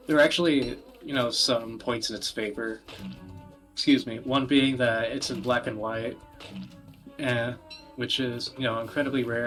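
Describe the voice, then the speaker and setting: Guy explaining stuff on a casual podcast, kinda halting and wordy, music in the background. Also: mid-range voice, a bit bright, low-quality recording. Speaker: podcast host presenting video games. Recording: music playing behind the voice.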